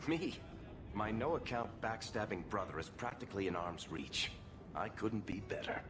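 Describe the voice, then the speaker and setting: A man's voice: fairly low pitch, animated, moderate pace, noisy background, close to an everyday voice. An actor playing a sardonic, roguish male dwarf in a video game, speaking in a cool, confiding way. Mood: sardonic, bitter.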